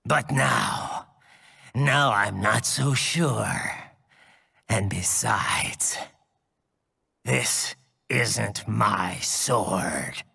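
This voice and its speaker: Brooding cyborg warrior, video game: husky, tense, terse and deliberate delivery. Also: male voice, on the low side, bright, forceful tone, heavily acted. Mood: grim resolve. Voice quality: gravelly, raspy. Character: grim, brooding, vengeful cyborg warrior hero. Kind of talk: video game cutscene acting.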